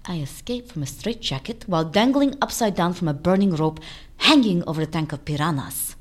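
A woman's voice: fairly low pitch, lively intonation, brisk. An actress playing a proud, cool female escapologist in an audio drama, with a self-assured, dramatic manner.

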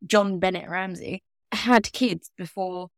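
A woman's voice: medium pitch, fairly even intonation, slow pace, dry recording. A woman in her thirties with a British accent co-hosts a podcast in a casual, chatty style. She likes to tease. The sound is close and dry. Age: 30s. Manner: teasing, chatty. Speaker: podcast co-host. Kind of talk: casual banter and true crime discussion.

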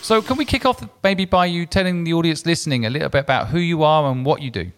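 A man's voice: fairly high pitch, quick pace, smooth. A man hosting a podcast, reading an upbeat scripted intro and asking interview questions with enthusiastic praise. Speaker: podcast host.

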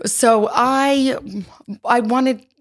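A woman's voice: high voice, fairly even intonation, extremely slow. A woman, a psychotherapist and author, answering interview questions in a thoughtful, articulate way. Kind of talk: casual interview.

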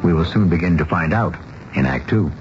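Mystery show host: deep, theatrical, ominous narration over prominent music on a dull vintage radio recording. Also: male voice, expressive, brisk. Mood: ominous, knowing.

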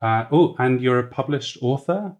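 A man's voice: mid-range voice, expressive, normal speed. A man hosting a podcast interview, chatting enthusiastically with plenty of fillers. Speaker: podcast host. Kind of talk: conversational interview.

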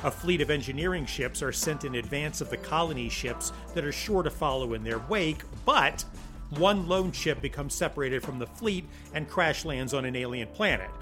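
A man's voice: a little high, animated, normal speed, music in the background. A man giving an emphatic, explanatory podcast introduction in a semi-scripted style. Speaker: podcast co-host, writer.

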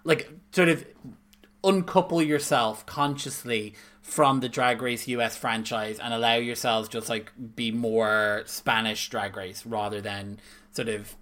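Male co-host, bright forceful voice, chatty and emphatic. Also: mid-range voice, steady tone, measured pace. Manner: emphatic, frequent "like" fillers. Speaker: podcast co-host. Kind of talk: casual chat.

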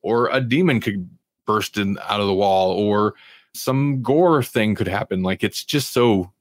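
A man's voice: fairly low pitch, measured pace, no room sound, clean studio sound. A man hosting a TV review podcast in casual chat, rambling and informal with an amused manner. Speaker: podcast host reviewing TV shows.

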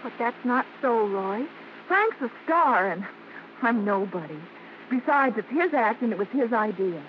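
A woman's voice: a little high, animated, measured pace. An American woman playing a sincere, modest young vaudeville performer with spirit, in old radio drama style. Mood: earnest, hesitant. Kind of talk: 1940s-50s American radio drama acting. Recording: muffled old radio broadcast, faint noise.

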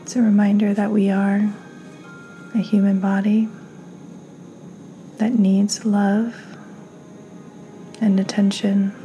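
A woman hosting and guiding a meditation in a calm, gentle, soothing manner, her soft delivery often slipping toward a breathy near-whisper. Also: medium pitch, slow pace, long pauses, faint music behind, poor audio. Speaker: podcast host, meditation guide. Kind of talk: guided meditation, slow and soothing.

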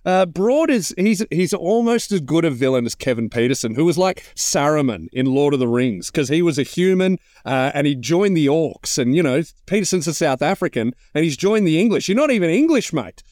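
A man's voice: fairly high pitch, expressive, a little fast, hardly any pauses, a little mellow, no echo, studio quality. An Australian man co-hosting a podcast, joking about sport in a tongue-in-cheek way. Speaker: podcast co-host, comedian.

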